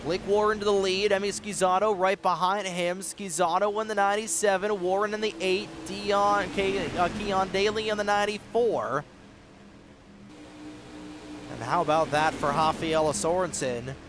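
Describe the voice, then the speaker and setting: A man doing play-by-play commentary for an online racing league, excitable and quick, with a slightly raspy voice. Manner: excitable, fast-moving play-by-play with sudden rises in pitch at close calls. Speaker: race commentator. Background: race car engine noise.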